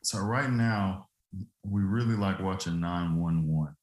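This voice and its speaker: Brief: 30s man from Louisiana, very low voice, laid-back storyteller, echoey room. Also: slow pace, darkish tone. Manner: easygoing, laughs often, loose filler-heavy telling. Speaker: guest, former football player turned trucking business owner. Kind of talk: relaxed interview storytelling.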